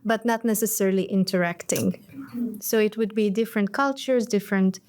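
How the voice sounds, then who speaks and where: A young woman from Hungary, a master's student and podcast guest, explaining ideas in a measured way. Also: mid-range voice, a little mellow. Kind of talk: interview answers.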